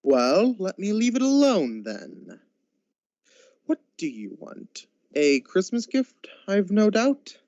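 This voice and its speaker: A man's voice: sits high, huge pitch swings, slow pace, a little mellow, no room sound, lo-fi sound, exaggerated voice. A man playing a miserly, grumpy old businessman in a radio drama, curt and scornful.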